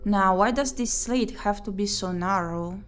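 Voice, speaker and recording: A woman streaming a visual novel playthrough, reading the text aloud and thinking out loud as she plays. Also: medium pitch, measured pace. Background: game music.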